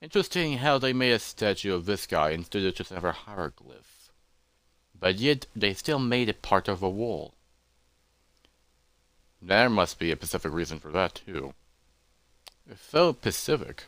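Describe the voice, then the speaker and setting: A man's voice: sits low, very animated, moderate pace, long pauses, a bit bright, no echo, poor audio. A man voicing a massive, curious explorer creature in a fantasy roleplay, hesitant and thoughtful as he talks to himself. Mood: pondering. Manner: musing, hesitant, talking to himself.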